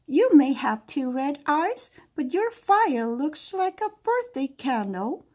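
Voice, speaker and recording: A woman voicing a spoiled young princess in a storybook, with high, sing-song calls and a whiny edge. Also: sing-song, slow pace, a little mellow, heavily acted.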